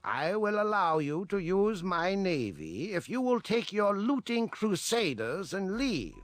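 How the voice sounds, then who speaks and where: An actor playing a haughty, indignant ruler in a video game, imperious and theatrical in delivery. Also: male voice, sits high, sing-song, a little slow, no echo, faint music behind. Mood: grudging. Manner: imperious, commanding.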